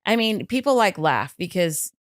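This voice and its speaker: A woman vocal coach, a guest on a podcast, telling stories in a lively, chatty way with plenty of fillers. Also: lowish voice, normal speed, no echo, studio quality. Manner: animated, chatty storytelling with many fillers. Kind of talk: casual podcast interview.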